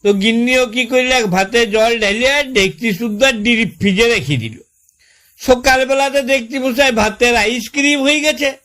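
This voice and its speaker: Male actor as aggrieved rustic husband, extremely high voice, rural West Bengal accent, rambling exasperated storytelling, comedy phone skit. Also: a little slow, sharp tone, exaggerated voice. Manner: folksy, long-winded storytelling with exasperated repetition. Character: aggrieved village husband complaining about his new fridge. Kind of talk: comic prank-call style phone skit.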